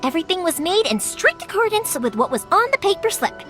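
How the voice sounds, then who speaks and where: A woman's voice: very high-pitched, big pitch swings, fairly quick, fairly bright tone, exaggerated voice. A woman playing a cheerful, talkative young fireworks maker in an anime-style video game, rambling along enthusiastically. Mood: friendly, reassuring. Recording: music under the dialogue. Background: music.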